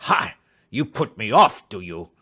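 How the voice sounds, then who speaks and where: Menacing old doctor played by a male narrator: snarling, blustering audiobook villain, forceful swings in pitch. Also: sing-song, measured pace, slightly rough, exaggerated voice. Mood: scornful fury. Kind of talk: audiobook character acting.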